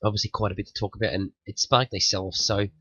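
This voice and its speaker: A man's voice: on the low side, fairly even intonation, quick pace, bright, forceful tone, highs cut off, soft background music. A man hosting a day trading room, recovering from flu and a bit sniffly, talking through the market with many restarts and warnings. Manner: repetitive, restarts phrases, cautionary. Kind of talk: market analysis commentary.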